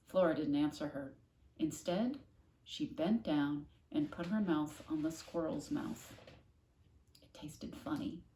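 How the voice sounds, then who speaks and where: A woman reading a children's novel aloud expressively, with dramatic, deliberate emphasis. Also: low-pitched, warm tone. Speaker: storybook reader, classroom host. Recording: echoey room, faint noise.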